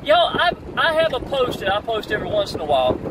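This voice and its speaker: A middle-aged man giving an energetic, preachy motivational talk to an online marketing audience while driving, repeating "y'all" often. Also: extremely high pitch, quick pace. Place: open-top convertible car outdoors. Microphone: phone camera at arm's length. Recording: wind and road noise, mic at a distance.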